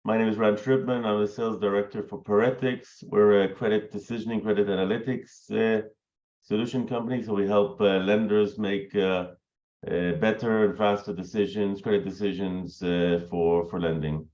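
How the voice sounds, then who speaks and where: A croaky man with a cold introducing himself on a panel, courteous and halting. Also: on the low side, fairly even intonation, a little mellow, dull audio. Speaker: sales director panelist.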